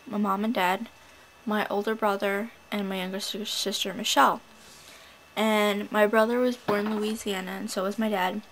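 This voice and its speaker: A woman's voice: mid-range voice, creaky, a bit bright, a little hiss or hum, low-quality recording. A teenage girl chatting casually into a handheld camcorder at home, rambling with lots of likes and ums. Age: teens. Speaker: vlogger showing her family and school. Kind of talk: casual home-video vlog. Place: family home, indoors. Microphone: handheld camcorder mic.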